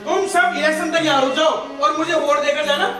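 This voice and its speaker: A man's voice: very high-pitched, fairly even intonation, brisk. An actor playing a menacing, power-hungry village strongman politician on stage, with a domineering, rhetorical way of talking. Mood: scheming. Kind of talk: projected stage acting. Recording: distant echoey theatre hall with music.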